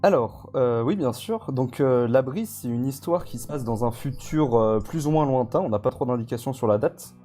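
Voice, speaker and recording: A man's voice: medium pitch, expressive, moderate pace, hardly any pauses, warm tone, soft background music. A man speaking informally and hesitantly as a guest in a casual live interview, rambling a little as he explains. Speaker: guest, audio fiction creator.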